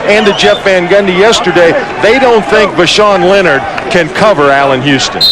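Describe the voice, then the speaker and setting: A man's voice: sits high, normal speed. A man working as color commentator, giving live analysis during a basketball broadcast. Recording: noisy 1990s arena broadcast, loud crowd. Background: roaring basketball crowd and court noise.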